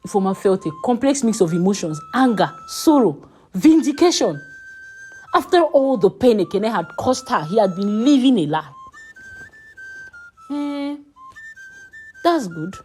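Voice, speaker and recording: A woman's voice: medium pitch, big pitch swings, moderate pace, darkish tone, soft background music. A woman storyteller reading a scripted folktale in a dramatic narrating style.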